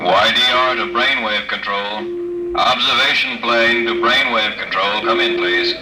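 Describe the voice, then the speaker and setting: An actor playing a businesslike military observation pilot, speaking in clipped procedure over an aircraft radio. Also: male voice, lowish voice, a little slow. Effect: aircraft radio transmission. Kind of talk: 1950s radio drama acting. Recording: distant, echoey, very noisy radio link.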